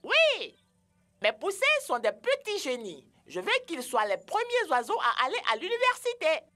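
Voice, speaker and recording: A woman voicing a proud, excitable mother bird who dotes on her chicks in a children's cartoon, with an effusive delivery. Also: sits high, moderate pace, heavily acted. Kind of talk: children's educational cartoon dubbing.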